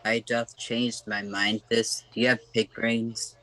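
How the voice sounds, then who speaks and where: A man's voice: medium pitch, level tone, unhurried, bright, forceful tone. An older man playing a pompous college boyfriend who talks like Shakespeare in a comic radio play. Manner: theatrical, pompous. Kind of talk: comic old-time radio play acting.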